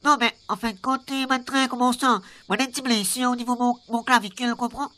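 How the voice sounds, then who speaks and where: A woman's voice: on the high side, fairly even intonation, quick pace, sharp tone. A woman playing a boastful but cowardly young street tough with a Réunion Creole accent in a comic radio serial. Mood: sheepish excuses. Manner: cocky, slangy, rambling.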